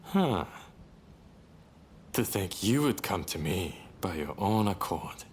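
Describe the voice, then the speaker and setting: Roguish young gambler rebel, deep-ish voice, unhurried, nonchalant, game cutscene. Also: male voice, sing-song, a little hiss or hum, full character voice. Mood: languid, dismissive. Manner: languid, teasing, nonchalant. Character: roguish, laid-back young male revolutionary drinker and gambler. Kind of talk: video game cutscene acting.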